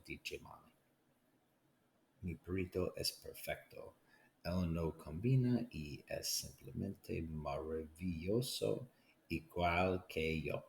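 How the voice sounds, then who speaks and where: Low male storybook read-aloud, faint noise. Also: creaky, darkish tone. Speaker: teacher reading a storybook aloud. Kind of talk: children's story read-aloud in English and Spanish.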